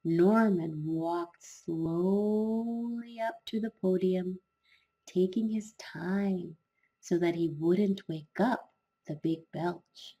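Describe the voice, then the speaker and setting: A woman reading a children's fairy tale in an expressive, dramatic storytelling style with drawn-out emphasis. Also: on the low side, rough recording.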